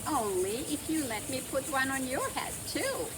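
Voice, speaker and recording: A woman's voice: sits high, sing-song, moderate pace, background noise. A woman voicing an eager, curious, affectionate little farm girl in a children's storybook, with a lilting, wondering manner. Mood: playful, excited.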